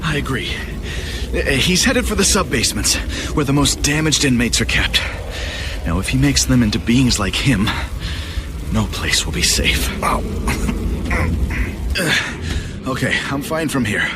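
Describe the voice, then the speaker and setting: A man's voice: mid-range voice, big pitch swings, a little slow, long pauses, noisy background. A man playing an injured, anxious corporate scientist in a video game, hesitant and pained as he explains. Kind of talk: video game cutscene acting. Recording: loud music behind the voice.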